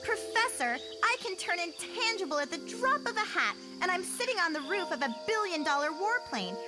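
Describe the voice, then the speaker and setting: A woman's voice: very high voice, sing-song, moderate pace, over music. A woman playing a cocky, dismissive teenage girl superhero in a motion comic, with a flippant manner.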